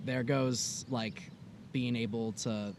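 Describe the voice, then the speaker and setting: A man's voice: mid-range voice, takes it slowly. A young man with a mid-range voice chats casually, acting out his own past thoughts with lots of "like." A faint hiss of outdoor noise sits under him. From United States. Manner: animated storytelling, lots of "like" and "I was like". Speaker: podcast co-host. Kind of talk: casual conversation. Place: outdoors in the mountains.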